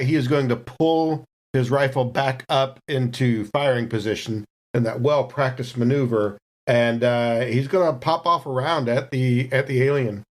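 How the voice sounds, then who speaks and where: Male tabletop player, average pitch, casual game talk and third-person action narration.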